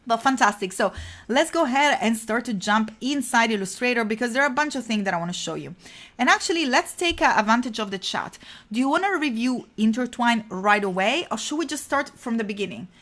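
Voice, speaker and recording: A woman's voice: a little high, animated, a little fast. Chatty Italian woman hosting a design stream. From Italy. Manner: chatty, warm toward viewers, many uh fillers. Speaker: livestream design instructor. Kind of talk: casual live tutorial chat.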